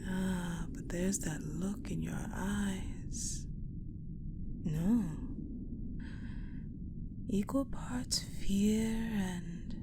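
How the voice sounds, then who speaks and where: A woman playing an imperious, sadistic ice-queen general in an audio roleplay, speaking in a haughty, taunting, deliberate way that often slips into a breathy murmur. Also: fairly low pitch, background noise. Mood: menacing fascination. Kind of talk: dramatic audio roleplay acting.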